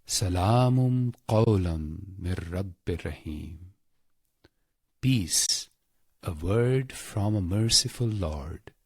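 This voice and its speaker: A man with a husky, breathy voice guides a scripted meditation in short, deliberate phrases, solemn and devotional. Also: deep voice, expressive, darkish tone, no room sound, clean studio sound. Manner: slow, deliberate, pausing between short phrases.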